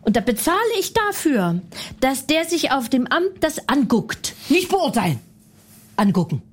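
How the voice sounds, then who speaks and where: A brash woman with a fairly high voice rants and mocks in blunt comedic banter. She talks in a loud, pushy way and laughs often between her jabs. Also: big pitch swings, unhurried, husky.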